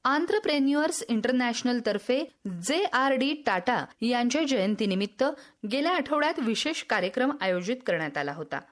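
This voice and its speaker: A woman's voice: fairly high pitch, animated, moderate pace, soft background music. A woman presenting a scripted radio news bulletin.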